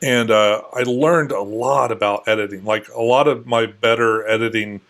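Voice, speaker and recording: A man in his forties, a guest on an interview show, answering in a relaxed, hesitant way with many fillers. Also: fairly low pitch, moderate pace. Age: 40s. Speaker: guest, longtime video maker. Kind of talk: casual interview chat.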